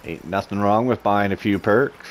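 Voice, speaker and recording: Man, very low voice, relaxed gameplay commentary, self-mocking asides, faint hiss behind. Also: normal speed. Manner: laid-back, self-mocking, talks to viewers. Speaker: gaming commentator. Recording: faint background noise.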